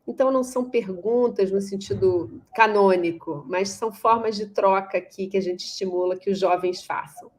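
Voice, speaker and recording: A woman's voice: medium pitch, normal speed, hardly any pauses. A Brazilian woman moderating an academic panel informally, reflective and often rephrasing as she searches for words. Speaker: moderator of an online course panel.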